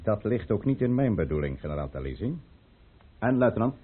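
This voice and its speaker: A husky aristocratic conspirator in an old, narrow-band radio drama. He gives orders in solemn, formal oratory with a very mobile pitch, cool and calculating. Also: very animated, brisk. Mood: cool, authoritative. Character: commanding, calculating aristocratic count leading a conspiracy. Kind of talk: Dutch radio play acting. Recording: thin, phone-like old radio recording.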